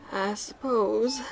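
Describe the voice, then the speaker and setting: A woman's voice: mid-range voice, vocal fry, poor audio. A woman playing a stern but caring mother in an audio drama, protective and firm, fussing over her child.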